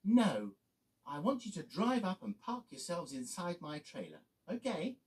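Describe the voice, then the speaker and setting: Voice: mid-range voice, very animated, unhurried, darkish tone, far from the mic, pitched above the performer's own voice. A storyteller voicing a cheerful male lorry who loves a wash, singsong and exclamatory. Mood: bossy.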